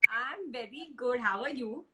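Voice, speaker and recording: A woman's voice: on the high side, lively intonation, a little slow, bright, forceful tone. A woman hosting a live stream, chatting casually and effusively over a roomy, hissy call connection.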